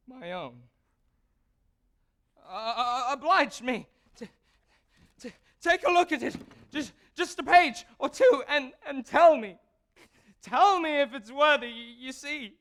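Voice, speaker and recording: A man's voice: very high-pitched, a bit bright, exaggerated voice. A teenage male stage actor playing a frightened, dying young composer who stammers, halts and breaks into nervous laughter. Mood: despairing, pleading. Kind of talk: theatrical stage acting. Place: theatre stage.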